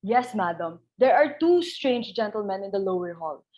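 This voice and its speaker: A woman's voice: medium pitch, lively intonation, normal speed, a little mellow, a little hiss or hum, lightly acted. A woman playing a deferential household servant in a stage comedy reading, formal and polite as she reports to her mistress.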